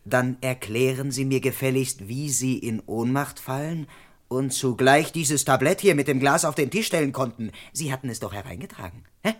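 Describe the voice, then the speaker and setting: Man playing a deadpan, officious police constable. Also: mid-range voice, moderate pace, natural voice. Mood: officious, suspicious. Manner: deadpan narration, brusque official questioning. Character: officious, suspicious British police constable, male, narrating his case. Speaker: narrator and lead character. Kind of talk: German radio crime drama acting.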